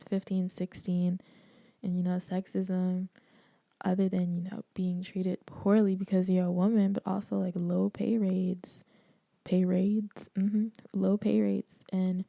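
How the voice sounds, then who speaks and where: A young woman from the Bahamas, hosting her own podcast in candid, animated casual talk with frequent laughs and slangy asides. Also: fairly low pitch, creaky, dry recording. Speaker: podcast host. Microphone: desk microphone.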